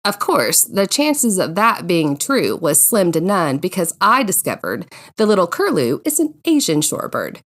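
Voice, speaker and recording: A woman hosting a podcast, telling personal stories from a script in an expressive, conversational way. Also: lowish voice, moderate pace, few pauses. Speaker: podcast host.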